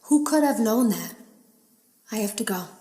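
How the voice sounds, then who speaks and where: A woman's voice: on the high side, expressive, moderate pace, dark tone, natural voice. Woman as anxious game tester, secret warning log, video game acting, earnest urgency, echoey room sound. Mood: uneasy. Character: worried female game tester leaving secret warning logs.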